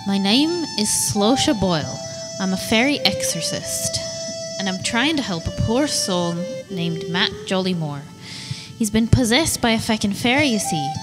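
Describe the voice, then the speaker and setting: A woman's voice: mid-range voice, lively intonation, unhurried, natural voice, rough recording. A woman playing an earnest, sweary Irish fairy exorcist reading out a letter in a comedy audio drama. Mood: earnest, pleading. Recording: loud music behind the voice. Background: loud instrumental music.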